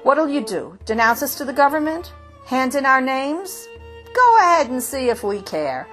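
A woman's voice: very animated, normal speed, fairly bright tone, faint music behind. A woman voicing a defiant flock of chickens that squawk and mock. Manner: shrill, squawking, mocking. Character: clamoring defiant flock of hens.

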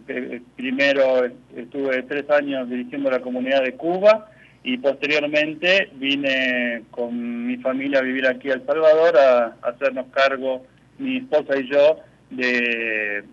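A man's voice: medium pitch, fairly even intonation, unhurried, a bit bright, muffled recording. A man with an Argentine accent, a community spiritual leader answering questions in a radio interview, hesitant, with many fillers and restarts. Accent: Argentine Spanish.

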